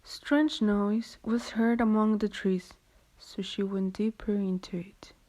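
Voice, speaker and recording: A woman's voice: mid-range voice, steady tone, takes it slowly, a little mellow. A woman giving scripted storytelling narration for a radio drama.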